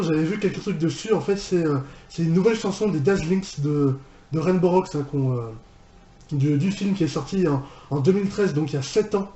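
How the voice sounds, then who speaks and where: Male reaction host, higher-pitched and soft-edged, halting and informal, recorded in a roomy space. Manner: halting, repeats and rephrases himself. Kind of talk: casual unscripted reaction commentary. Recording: roomy echo, music behind.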